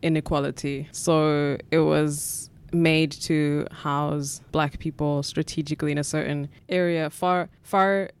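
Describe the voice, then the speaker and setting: A young South African woman talks in a smooth, clean voice. Her pitch stays fairly level as she reflects, with plenty of ums and sort-ofs. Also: fairly even intonation, unhurried, hardly any pauses. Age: 20s. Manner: thoughtful, reflective, many fillers. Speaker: guest. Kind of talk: conversational interview answers.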